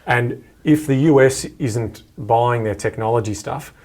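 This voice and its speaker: A man's voice: medium pitch, a little slow, a little mellow. An Australian man hosting a podcast, chatting casually and informally with a guest about economics. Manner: informal, colloquial. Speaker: podcast host.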